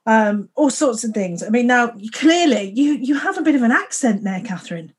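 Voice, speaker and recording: A woman's voice: on the high side, lively intonation, moderate pace, breathy edge. A woman hosting a casual conversation, rambling and laughing often as she talks. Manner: rambling, laughs often, frequent fillers and restarts. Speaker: podcast host.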